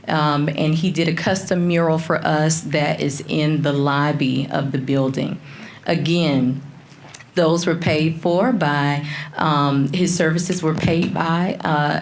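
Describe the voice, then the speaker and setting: A woman hosting a public ceremony, introducing speakers in a measured way with some hesitations. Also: low-pitched. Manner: measured, with fillers. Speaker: event host introducing speakers. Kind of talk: formal public announcement.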